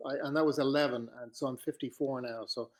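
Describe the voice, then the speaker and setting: An Irish man in his fifties, a podcast guest telling his life story in a relaxed, reflective way, with pauses and restarts. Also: medium pitch. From Ireland. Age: 50s.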